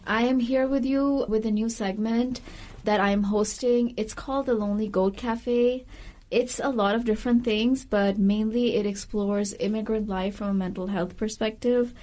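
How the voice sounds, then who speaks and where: A woman's voice: mid-range voice, darkish tone. A woman who grew up in India, hosting a podcast interview in an earnest, reflective way, with many fillers. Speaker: podcast host. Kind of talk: conversational interview.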